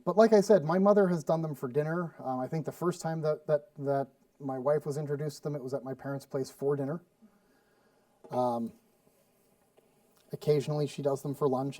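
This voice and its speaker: A man's voice: mid-range voice, warm tone, dry recording, far from the mic. A man from Sweden with no accent, chatting casually and rambling with fillers while demonstrating a recipe as a cooking show host. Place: studio kitchen.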